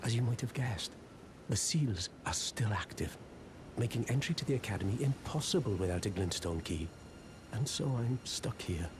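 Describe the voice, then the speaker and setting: An actor playing a humble, hapless apprentice sorcerer in a video game, apologetic, self-deprecating and hesitant. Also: male voice, lowish voice, lively intonation, normal speed, background noise, natural voice. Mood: wistful, resigned. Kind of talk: video game character dialogue.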